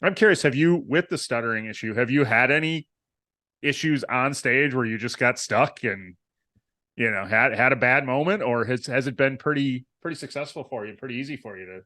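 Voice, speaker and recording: A man co-hosts a casual podcast and asks long, winding questions, restarting often. His voice sits at an ordinary mid pitch. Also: brisk, pushed and bright. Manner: rambling questions with many restarts. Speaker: podcast co-host. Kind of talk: casual chat. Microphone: remote video call.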